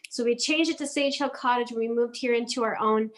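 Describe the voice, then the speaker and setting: A woman's voice: on the high side, a little fast, a bit bright. A woman raised in Denmark, a guest on a home decor show, talking in a friendly, chatty way with frequent ums and restarts. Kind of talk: casual interview chat.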